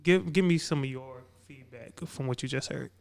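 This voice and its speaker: A man's voice: mid-range voice, moderate pace, creaky, darkish tone. A man in his thirties hosting a podcast in a barbershop space, talking casually and prompting his guests with questions. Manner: conversational, prompting, repeats "man". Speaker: podcast host. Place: barbershop event space, indoors. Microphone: podcast mic, headphones on.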